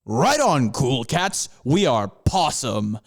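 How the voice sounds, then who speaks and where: A man's voice: mid-range voice, very animated, takes it slowly, heavily acted. A man doing an upbeat panther mascot. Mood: rallying. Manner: rallying, enthusiastic.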